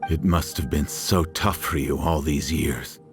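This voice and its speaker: An actor playing a gentle, guilt-ridden middle-aged village man in a video game, speaking in a halting, subdued way. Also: rumbling low. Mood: tender. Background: faint game music.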